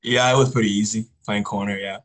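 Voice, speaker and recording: A teenage male athlete answers interview questions casually. His voice is fairly low and very flat in melody, with little rise or fall as he talks. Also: quick pace. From Congo, later the US Pacific Northwest. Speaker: high school football player, podcast guest.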